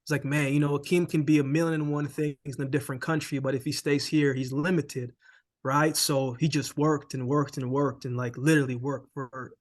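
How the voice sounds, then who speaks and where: A man from Jamaica, a former sprinter, telling his story as a guest in a casual interview, relaxed and tagging lines with "man" and "right?". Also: fairly high pitch, brisk.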